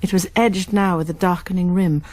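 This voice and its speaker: A woman's voice: on the low side, steady tone, fairly quick, a little mellow, natural voice. An actress with an English accent playing a composed, caring college nurse who also narrates her story in a radio drama. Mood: grave, narrating. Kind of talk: radio drama acting and first-person narration.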